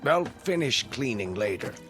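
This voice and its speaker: An actor playing a humble older night janitor in a radio drama, polite, deferential and a little hesitant. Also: male voice, medium pitch, sing-song, moderate pace, fairly bright tone, soft background music. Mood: apologetic, polite. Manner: deferential, hesitant, plain-spoken.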